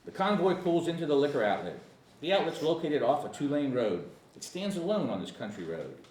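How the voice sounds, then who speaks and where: A man narrates stage directions at a table read of a screenplay. His mid-pitched voice moves up and down a lot, picked up at some distance in a roomy space. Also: very animated, fairly quick, a little mellow. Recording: echoey room, distant mic, faint noise.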